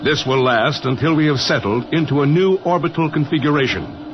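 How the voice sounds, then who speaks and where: Animated, precise actor as radio-play physicist. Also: male voice, a little high, a little fast, lightly acted. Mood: instructive, resolute. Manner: precise, instructive. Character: brisk, courteous, businesslike male physicist running a time machine. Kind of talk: 1950s radio drama acting. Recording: dull, noisy old radio recording.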